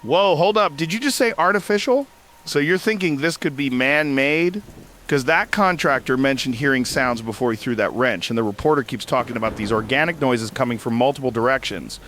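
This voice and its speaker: A man playing an excitable radio host in a scripted drama, pressing with emphatic, slangy, incredulous questions. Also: mid-range voice, a little fast, a little hiss or hum, natural voice. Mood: startled, probing. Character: excitable male talk radio host probing conspiracy theories.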